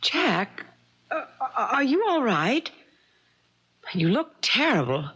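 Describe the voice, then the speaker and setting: Anxious, bright, high-voiced accused woman. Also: very animated, slow pace, husky, heavily acted. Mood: worried. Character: anxious wronged woman, startled, protesting her innocence. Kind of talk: 1970s radio mystery acting. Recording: dull vintage radio recording.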